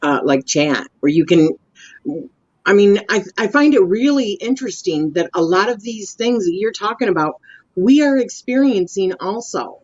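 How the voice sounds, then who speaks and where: A woman co-hosting a casual panel chat, talking loud and emphatic with a powerful voice. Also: medium pitch, expressive, normal speed. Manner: emphatic, talks loud from a big family. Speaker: co-host. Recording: dull lo-fi audio.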